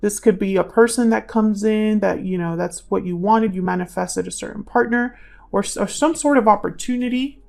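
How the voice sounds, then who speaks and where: Woman with creaky voice, musing tarot reader. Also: medium pitch, normal speed, darkish tone. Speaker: tarot reader, channel host. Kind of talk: casual intuitive tarot reading.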